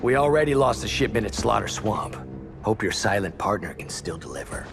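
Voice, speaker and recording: An actor playing a surly, distrustful supervillain in a video game, blunt and threatening. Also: male voice, fairly low pitch, sing-song, a little fast, creaky. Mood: wary, threatening. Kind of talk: video game cutscene acting. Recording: loud music behind.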